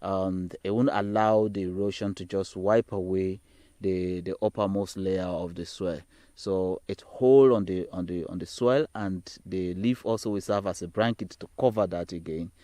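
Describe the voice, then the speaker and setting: Male trainer, Sierra Leonean accent, lower register, explanatory delivery with repeated key words, dry recording. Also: normal speed, mellow, no room sound. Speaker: agricultural trainer. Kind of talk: practical demonstration, explaining in a training film.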